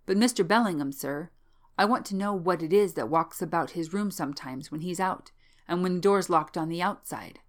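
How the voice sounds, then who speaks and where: A woman's voice: lowish voice, moderate pace, natural voice. A woman voicing a wrinkled old manservant in an audiobook, deferential, chatty and worried, with rustic, servile speech. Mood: worried, confiding. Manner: servile, rustic ungrammatical speech, frequent "sir". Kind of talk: audiobook character voice.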